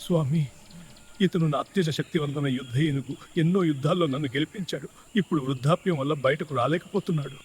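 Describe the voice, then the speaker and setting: A man's voice: a little high, quick pace, a little hiss or hum, lightly acted. A man playing a devoted, earnest king in a cartoon story. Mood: earnest pleading. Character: devoted king proud of his old war elephant. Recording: faint music behind.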